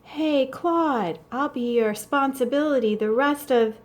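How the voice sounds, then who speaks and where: A woman voicing an excited, blurting young boy in a storybook read-aloud. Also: high voice, unhurried, voice pushed up.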